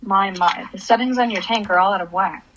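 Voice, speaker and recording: A woman's voice: mid-range voice, a little fast, fairly bright tone, highs cut off, close to an everyday voice. A woman playing a troubled, grieving divorced mother in a radio play, subdued and brooding. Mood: guarded.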